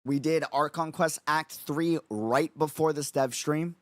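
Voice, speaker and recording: Hoarse, sleepless male streamer. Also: a little high, steady tone, a little slow, no echo. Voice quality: raspy. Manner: rambling, repeats phrases, frequent fillers. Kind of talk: casual livestream chat.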